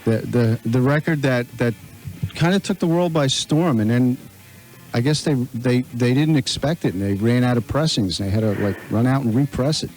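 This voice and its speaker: A man's voice: medium pitch, normal speed, darkish tone, soft background music, low-quality recording. An American man speaking casually as a radio show host, interviewing a guest from the studio.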